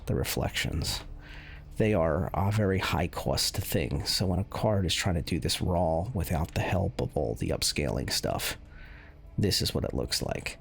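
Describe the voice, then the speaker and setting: Male game video host, very deep and creaky, casual and unscripted, measured thinking-aloud delivery, light music underneath. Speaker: gaming video host, commentator. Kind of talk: casual off-the-cuff commentary over game benchmark footage.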